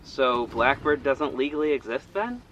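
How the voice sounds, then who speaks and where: An actor playing a friendly young shopkeeper and amateur sleuth who jokes around and gets easily flustered. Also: male voice, mid-range voice, normal speed, dull audio, a little hiss or hum, natural voice. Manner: lively, joking, easily flustered.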